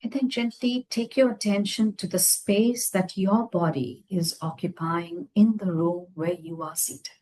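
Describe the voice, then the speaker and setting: A woman leading a guided meditation, speaking gently in unhurried phrases with long pauses. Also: mid-range voice, fairly even intonation. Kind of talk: guided meditation, slow soothing instruction.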